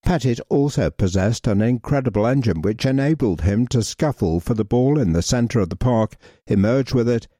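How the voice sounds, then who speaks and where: A man reading a football history article aloud in a measured, even way. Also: mid-range voice, no echo, clean studio sound. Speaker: reader.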